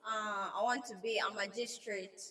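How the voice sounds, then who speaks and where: A woman's voice: mid-range voice, steady tone, moderate pace, low-quality recording. A young woman, a head girl giving formal public-speaking oratory. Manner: soft-spoken in answers, formal oratory in speech.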